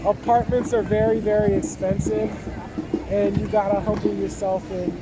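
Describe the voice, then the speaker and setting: A man's voice: high-pitched, flat delivery, normal speed, rough recording. A man from San Francisco answering questions in a casual street interview outdoors, speaking in a hesitant, thoughtful way. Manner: hesitant, thoughtful, restarts sentences. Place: busy city park outdoors.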